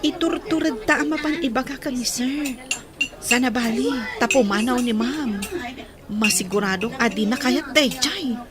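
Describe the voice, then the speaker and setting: A woman's voice: a little high, moderate pace, crowd noise, close to an everyday voice. A woman with an Ilocano accent playing a chatty young working student at a restaurant in a radio drama. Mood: chatty, teasing. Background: loud music and clinking dishes.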